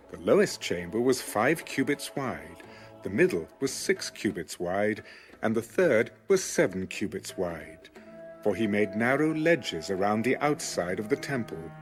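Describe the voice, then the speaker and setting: A man giving a scripted narration of scripture as an audio Bible narrator. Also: medium pitch. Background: music.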